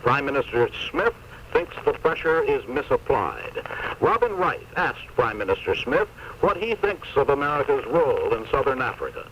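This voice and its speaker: Male newsreader, lively pitch, muffled AM radio. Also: normal speed. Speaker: news announcer. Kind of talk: scripted radio news report. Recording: dull, faintly noisy 1970s AM radio.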